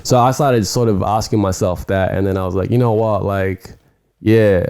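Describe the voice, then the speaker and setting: A man's voice: on the low side, steady tone, normal speed, darkish tone, smooth. A man from New Zealand, a hip hop artist chatting casually on a podcast, full of fillers and restarts. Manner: rambling, lots of fillers.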